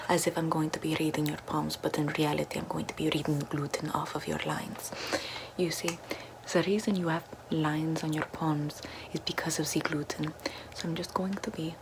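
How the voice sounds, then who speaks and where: A young woman doing a parody ASMR role-play, whispering in a deadpan, mock-mystic way into a close camera in a small bedroom. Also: deep voice, moderate pace, heavy background noise. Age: young adult. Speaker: ASMR creator. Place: small bedroom with floral backdrop, indoors.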